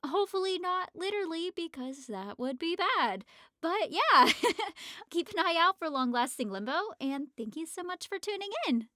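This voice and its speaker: A woman voice actor introducing herself casually, excited and gushing. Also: very high voice, normal speed, dry recording, studio quality. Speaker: amateur voice actor. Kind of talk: casual self-introduction to audience.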